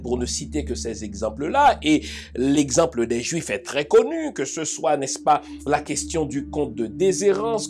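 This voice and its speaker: A man's voice: fairly high pitch, a little mellow. A man hosting a talk show, delivering an emphatic monologue full of rhetorical questions and asides. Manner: emphatic, rhetorical questions, frequent "n'est-ce pas". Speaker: host.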